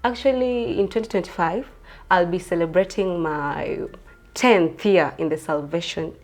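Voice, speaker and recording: A woman in her twenties from Kenya, a pageant contestant answering interview questions in an earnest, soft-spoken way. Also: lowish voice, measured pace. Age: 20s.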